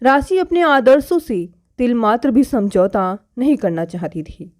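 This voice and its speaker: A woman's voice: a little high, expressive, a little slow, darkish tone. A woman reading a scripted family story aloud as a narrator.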